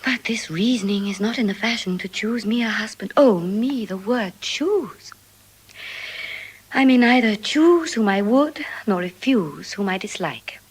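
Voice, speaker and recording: An actress with a British accent playing a witty, world-weary young heiress in languid classical Shakespearean stage style. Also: female voice, mid-range voice, animated, breathy edge, low-quality recording. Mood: plaintive. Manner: languid, sighing, deliberate.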